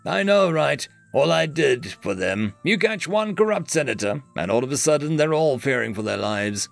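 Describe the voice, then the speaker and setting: A man's voice: fairly high pitch, very animated, normal speed, creaky, soft background music, voice pushed up. A man voicing a cocky male bounty hunter who talks in a smug, joking, casual way.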